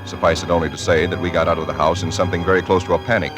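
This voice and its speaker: A very low-voiced, earnest husband, played by a man, who speaks formally and stammers when he is upset. Music plays under him in an old radio broadcast. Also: little pitch movement, racing, lightly acted. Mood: grave. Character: shaken husband, earnest narrator. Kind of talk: 1940s radio acting.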